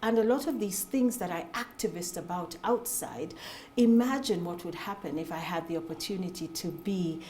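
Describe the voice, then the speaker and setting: A Kenyan woman in her forties, answering interview questions about her run for the Senate in an articulate, measured way. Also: lowish voice, mellow. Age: 40s. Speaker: Senate aspirant, guest.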